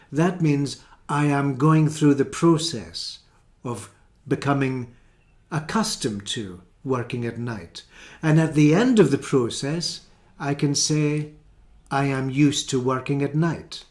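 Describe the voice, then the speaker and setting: A man's voice: mid-range voice, darkish tone. A man teaching an English grammar lesson, carefully explaining and repeating each example sentence.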